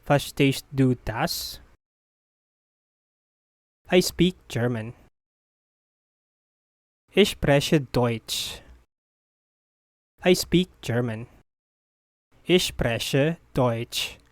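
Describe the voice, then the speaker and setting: A teacher's voice slowly repeating short phrases in a language drill, each one said clearly and evenly. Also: mid-range voice, animated, no room sound, clean studio sound. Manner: deliberate, evenly paced repetition of short phrases.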